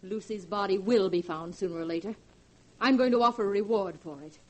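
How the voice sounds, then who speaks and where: A woman's voice: medium pitch, a little fast, a little mellow, close to an everyday voice, rough recording. A woman playing a determined young friend in a 1940s radio drama, earnest and firm with theatrical diction. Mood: determined, accusing.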